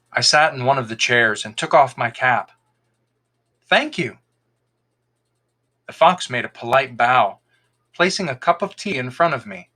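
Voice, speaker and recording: A man reading a folktale aloud as an expressive podcast storyteller, in scripted narration. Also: medium pitch.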